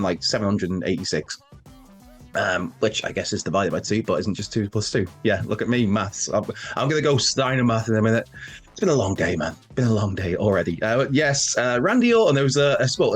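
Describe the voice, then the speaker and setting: A man with a cold talks through wrestling news in a nasal, blocked-up voice, circling back and fixing his words as he goes. His pitch sits in an ordinary middle range, with faint music under him. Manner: rambling, self-correcting, chatty with asides. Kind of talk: casual news chat to camera.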